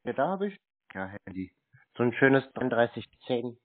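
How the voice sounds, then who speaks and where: A man in a phone conversation, answering in a deadpan, obtuse and drily contrary manner. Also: mid-range voice, normal speed. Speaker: scam baiter playing along on a call. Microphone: telephone. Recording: thin, narrow phone line.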